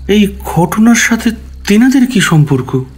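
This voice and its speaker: Male narrator for audio horror: slightly high, husky voice, dramatic first-person telling with acted dialogue over faint music. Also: sing-song, unhurried. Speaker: narrator and lead character of a ghost story.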